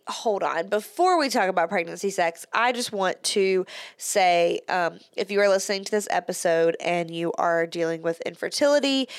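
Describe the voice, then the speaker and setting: A woman's voice: lowish voice, dry recording, clean studio sound. A woman hosting her own podcast in casual solo chat, a little congested from a cold, talking in a chatty way with frequent "like" and small laughs. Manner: chatty, says "like" often, laughs between words. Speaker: podcast host.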